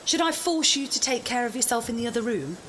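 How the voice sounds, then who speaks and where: High, animated, demanding girlfriend played by a woman. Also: fast, a little hiss or hum. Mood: insistent, defensive. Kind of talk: audio drama dialogue.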